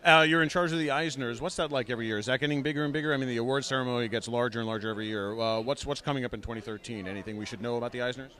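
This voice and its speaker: A man's voice: medium pitch, very fast, few pauses, bright, forceful tone. A man doing a casual on-site interview, enthusiastic and complimentary as host.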